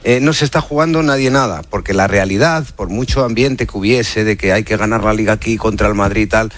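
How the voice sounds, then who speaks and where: Male football pundit, Spain accent, fast emphatic delivery that hammers phrases by repetition. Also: on the low side, no room sound. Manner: emphatic, repeats phrases for stress. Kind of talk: unscripted sports debate.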